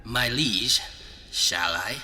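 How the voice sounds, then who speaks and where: A man playing an eerily calm, servile lieutenant in an audio drama, detached and deferential, speaking sparsely. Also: on the low side, drawn-out words, in a large hall, heavily acted. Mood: detached, obedient.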